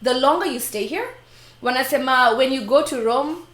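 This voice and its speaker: Animated Kenyan woman, high voice. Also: moderate pace, echoey room. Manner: animated, laughing, switches into playful voices for quotes. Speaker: live stream co-host. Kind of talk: casual chat mixing English and Swahili.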